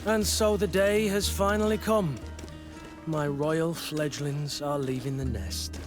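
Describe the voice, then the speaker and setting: An actor playing a blunt, bantering mercenary master-at-arms and mentor in a video game. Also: male voice, a little high, slow, music in the background. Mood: teasing. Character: gruff, teasing mercenary master-at-arms, loyal fighting mentor. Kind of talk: video game character acting.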